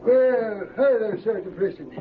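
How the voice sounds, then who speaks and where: A high-pitched, folksy gold prospector. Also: male voice, expressive, measured pace. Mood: genial. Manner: chatty backwoods talk. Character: folksy, friendly male gold-miner barfly. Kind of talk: old-time radio adventure acting. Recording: narrow, noisy old radio recording.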